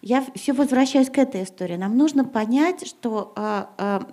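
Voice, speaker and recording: Hesitant woman teacher with a mellow voice. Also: a little high, normal speed. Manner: hesitant, many fillers, rising to emphatic. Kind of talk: panel discussion. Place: gymnasium hall in front of an audience.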